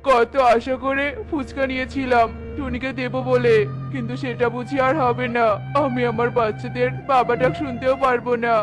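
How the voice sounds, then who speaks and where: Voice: medium pitch, level tone, moderate pace, lightly acted. Performer doing Tona, an earnest cartoon husband bird. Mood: dying, anguished. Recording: loud music behind the voice.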